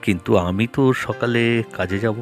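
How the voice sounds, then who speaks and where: A man's voice: fairly low pitch, fairly even intonation, brisk, lightly acted. A man playing an anxious, devoted adult son in a cartoon, pleading and protesting as he frets. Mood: pleading, dejected. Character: anxious, devoted adult son fretting over his old father. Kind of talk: animated cartoon dialogue. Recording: music behind the voice.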